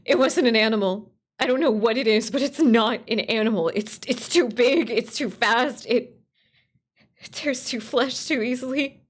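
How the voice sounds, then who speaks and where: A woman playing a terrified lone camper phoning in for help in a horror radio play, stammering and halting. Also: on the high side, slightly rough. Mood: panicked, insistent.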